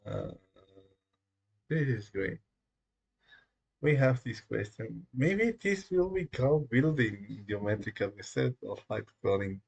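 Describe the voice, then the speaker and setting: A man whose first language is Spanish, chatting casually on a live coding stream in a rambling, halting way, with many fillers and small chuckles. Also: medium pitch, lively intonation, creaky, slightly rough, dull audio. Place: dark room at home.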